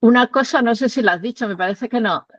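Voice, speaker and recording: A woman's voice: on the high side, quick pace, a bit bright, no echo. Middle-aged woman, pastor guest, chatty, reflective, laughs often, dull lo-fi sound, dry. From Tenerife, Canary Islands. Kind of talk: warm personal interview conversation.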